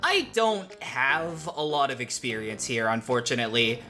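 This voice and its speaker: A man's voice: mid-range voice, very animated, moderate pace. A man hosting a casual scripted video essay, with a joking, sweary, self-interrupting manner.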